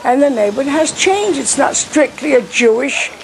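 Gravelly high-voiced woman. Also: expressive, moderate pace, slight background noise, poor audio. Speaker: neighborhood resident. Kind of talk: interview talk.

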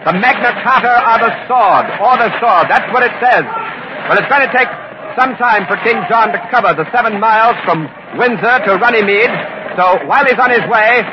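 An actor playing a keen radio correspondent giving dramatic, descriptive 1950s commentary from the scene. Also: male voice, very high-pitched, moderate pace. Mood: keen, tense. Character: keen male war correspondent at army camp. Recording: muffled noisy old radio broadcast.

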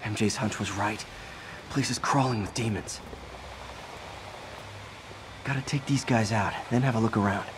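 An American man playing an earnest, quick-witted young masked superhero in a video game, in a conversational way. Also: lowish voice, background noise, close to an everyday voice. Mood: focused.

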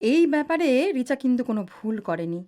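A woman playing a caring, chiding middle-aged mother in an audio drama, with an expressive motherly delivery. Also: a little high, quick pace.

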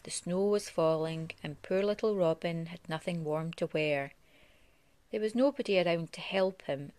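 A woman reads a children's storybook aloud in a slightly low voice. Her delivery is expressive storytelling for a young child, giving the characters' lines some life. The sound is dry, with little room echo. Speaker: parent reading a storybook to a child. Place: living room at home.

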